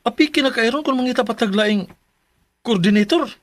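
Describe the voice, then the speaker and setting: High-voiced bewildered Filipino groom-to-be. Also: male voice, brisk, no room sound. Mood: concerned, practical. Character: bewildered young groom-to-be, trying to fix things. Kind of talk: Filipino radio drama acting.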